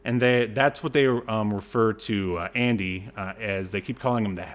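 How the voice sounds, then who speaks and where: Casting: male podcast co-host, lower-pitched voice, casual movie-review banter, halting and wryly exasperated delivery. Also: lo-fi sound. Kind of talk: casual film review chat.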